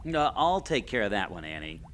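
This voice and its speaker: A man's voice: on the low side, sing-song, a little fast, fairly bright tone, slight background noise. A man playing a brash, playful adventurer who takes command in an audio drama. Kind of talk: scripted audio adventure acting.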